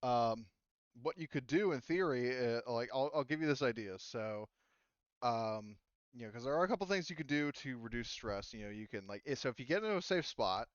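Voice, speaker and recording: Clear-voiced man refereeing a game. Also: medium pitch, animated, a little fast, dry recording, highs cut off. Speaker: game master. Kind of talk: casual tabletop game refereeing.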